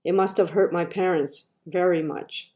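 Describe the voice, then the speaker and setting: A woman's voice: lowish voice, fairly even intonation, normal speed, mellow. Older woman reading her personal essay. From Canada. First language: Japanese. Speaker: artist.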